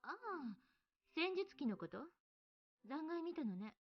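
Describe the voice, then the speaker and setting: A woman's voice: high-pitched, very animated, normal speed, highs cut off. An actress playing a cool, condescending, teasing woman in a Japanese sci-fi visual novel, speaking matter-of-factly. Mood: explaining. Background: game music.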